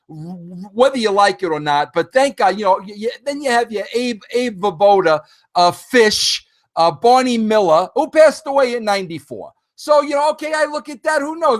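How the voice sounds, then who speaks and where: A man in his fifties hosting a podcast, talking casually and animatedly straight to his listeners, often rambling and restarting his sentences. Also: very high voice. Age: 50s. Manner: addresses listeners directly. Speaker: podcast host. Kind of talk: casual solo monologue.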